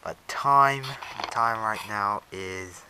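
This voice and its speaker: A man casually narrating a hands-on unboxing video in halting, pieced-together phrases, recorded on an action camera. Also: fairly low pitch, noisy background. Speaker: vlogger unboxing a weather radio. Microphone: action camera on head strap, later monopod.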